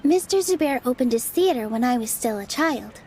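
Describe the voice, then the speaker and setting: A woman playing a gentle, sincere young dancer in a video game, modest and reflective. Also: high-pitched, lively intonation, moderate pace, a little hiss or hum. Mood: reflective, grateful. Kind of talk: scripted video game dialogue. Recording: light background music under game dialogue.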